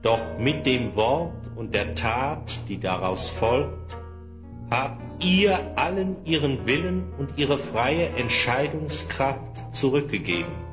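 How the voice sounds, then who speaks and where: A man playing a kindly, wise old wizard who speaks in a measured, solemn, instructive way in a fantasy audio drama. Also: medium pitch, sing-song, music in the background.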